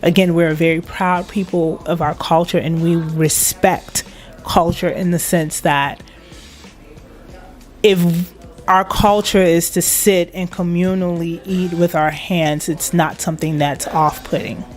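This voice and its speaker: Woman from Liberia, low voice, emphatic, proud, laughs easily, faint music behind. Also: steady tone, unhurried. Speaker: guest, internet radio station manager. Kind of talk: casual interview chat.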